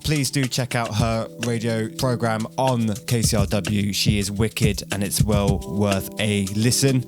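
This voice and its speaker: A man's voice: fairly low pitch, steady tone, a little slow, few pauses, over music. A man hosting a podcast, asking casual interview questions and delivering informal sign-offs. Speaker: podcast host.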